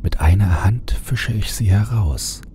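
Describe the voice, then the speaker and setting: A man narrates a horror tale in a very low, dark voice. His delivery is slow and suspenseful, dropping toward a hush at times, over a faint bed of noisy ambience. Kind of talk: scripted audiobook narration of a horror story.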